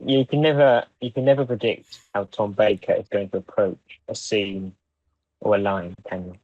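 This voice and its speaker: A man's voice: fairly low pitch, steady tone, normal speed, husky, no room sound. A man co-hosting a podcast in casual, conversational fan chat. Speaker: podcast co-host. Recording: dull, dry, band-limited microphone.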